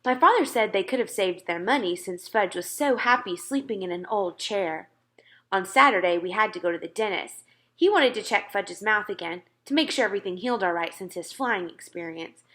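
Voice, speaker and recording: A woman storyteller narrating a children's book expressively. Her voice is bright and crisp in feel, with a lively, wide-swinging pitch. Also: a little fast. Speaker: read-aloud storyteller.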